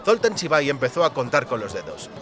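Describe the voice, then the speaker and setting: A man's voice: mid-range voice, big pitch swings, a little fast, fairly bright tone, faint music behind. A man reading scripted narration for a fantasy audiobook.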